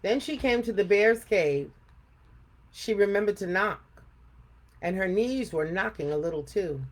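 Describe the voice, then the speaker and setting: A woman's voice: medium pitch, animated, a little slow. A middle-aged or older woman hosting a read-aloud, narrating for children and chatting casually. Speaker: read-aloud storytime host. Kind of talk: expressive children's story narration and casual chat.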